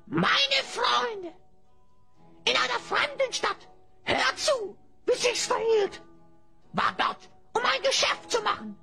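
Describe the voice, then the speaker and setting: A man's voice: very high-pitched, sing-song, slow, vocal fry, pauses often, sharp tone, soft background music, full character voice. A man playing a fawning, two-faced hyena-headed trickster who speaks theatrically in rhyme in a fantasy audio drama. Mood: sly, boastful.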